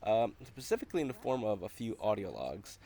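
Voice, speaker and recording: A man's voice: on the low side, sing-song, a little fast, dark tone. A man narrating a video game walkthrough in a casual, conversational, explanatory way.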